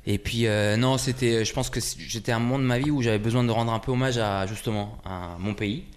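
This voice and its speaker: A man's voice: medium pitch, fairly even intonation, a little fast, clean tone. A man in his forties from Italy, a musician talking casually in an interview, hesitant and full of fillers. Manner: hesitant, many fillers and restarts. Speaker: musician guest.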